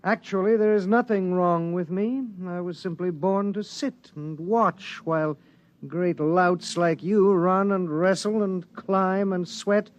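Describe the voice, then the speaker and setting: A male actor playing a fussy, indolent, learned gentleman in an old radio drama, with precise, formal, languidly pompous speech. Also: high-pitched, steady tone, measured pace, highs cut off. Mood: languid, disdainful.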